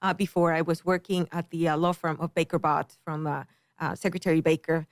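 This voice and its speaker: Middle-aged woman from the Dominican Republic, earnest guest, lowish voice, dry close sound. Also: fairly even intonation, quick pace, no echo. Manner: earnest, many ums, careful explaining. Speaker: political candidate, guest. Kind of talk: interview answers.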